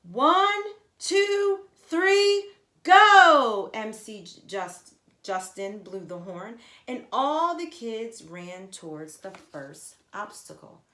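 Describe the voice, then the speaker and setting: A woman reading a children's story aloud expressively, with deliberate emphasis and asides to her listeners. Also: medium pitch, animated, a bit bright. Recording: echoey, roomy sound.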